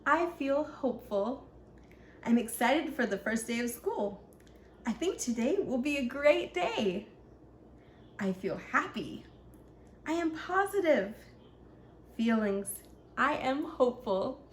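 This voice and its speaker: A woman voicing an earnest young ninja from a children's storybook, explaining things simply to children. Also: high-pitched. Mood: hopeful. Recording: echoey room, faint background noise.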